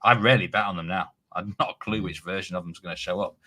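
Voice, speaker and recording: A man's voice: low-pitched, fast, bright, forceful tone. A man hosting a live betting show, chatting casually with many restarts. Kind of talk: casual live studio chat.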